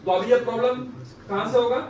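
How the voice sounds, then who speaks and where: A man's voice: extremely high pitch, steady tone, quick pace, darkish tone. A man teaching a repair class, explaining emphatically, repeating points and often checking with questions. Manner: repetitive, emphatic teaching with frequent rhetorical questions. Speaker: phone repair instructor. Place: indoor classroom. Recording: echoey room, distant mic, faint background noise.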